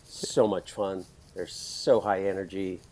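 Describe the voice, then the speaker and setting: A man hosting a podcast in a casual, rambling interview chat, his voice raspy from screaming at a concert. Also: deep voice, steady tone, unhurried, a little mellow, slight background noise. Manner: chatty, rambling, laughs often. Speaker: podcast host.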